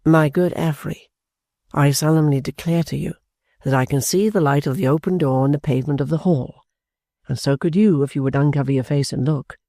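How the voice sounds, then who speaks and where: A man reading an audiobook, voicing an earnest, formal gentleman who speaks in a measured, reassuring way. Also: on the high side, fairly even intonation, creaky, no room sound, clean studio sound. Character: earnest gentleman, calm, persuasive. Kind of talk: audiobook character voice.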